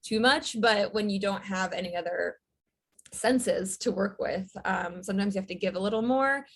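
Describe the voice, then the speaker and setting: A woman's voice: medium pitch, normal speed, a bit bright, slightly rough. A young adult woman, a writer, talking casually as a podcast guest, chatty with lots of fillers and laughs. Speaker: audio drama writer, guest.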